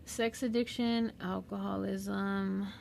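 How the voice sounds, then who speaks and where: A woman with a Southern California accent whose first language is Spanish, talking unscripted and reflectively in a slow, pausing monologue as a podcast host. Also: mid-range voice, level tone, creaky, darkish tone, a little hiss or hum. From Mexican-American. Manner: slow, reflective, with pauses and fillers.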